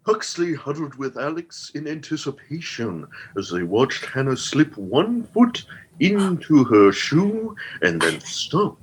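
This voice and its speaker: A man playing a vain, pompous gentleman storyteller in a comedy radio play, with a grandiose, florid delivery. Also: a little high, sing-song, slow, a little hiss or hum, rough recording. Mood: dramatic suspense.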